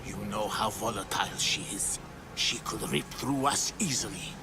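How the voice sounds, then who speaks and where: An actor playing a scheming, ambitious cult conspirator in a video game, speaking in a theatrical, conspiratorial manner. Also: male voice, fairly low pitch, normal speed, lightly acted. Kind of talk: video game cutscene acting. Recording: very loud music underneath. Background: game music.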